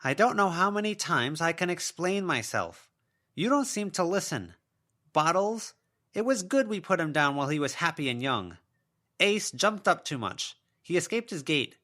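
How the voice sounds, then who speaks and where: A man's voice: a little high, big pitch swings, normal speed, sharp tone, voice pushed up. A man voicing the ghost of an older woman, pleading, aggrieved and full of excuses, in an audiobook. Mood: pleading, defensive.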